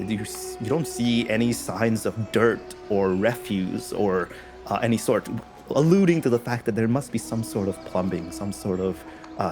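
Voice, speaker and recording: A man narrating a tabletop game as game master, halting and full of fillers. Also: medium pitch. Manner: slow, halting, with many fillers. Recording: music bed under the voice.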